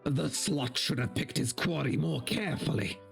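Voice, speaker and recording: A man's voice: a little high, lively intonation, moderate pace, vocal fry, faint music behind. A man voicing a proud, defiant barbarian warrior in a declamatory, mocking manner for a story reading.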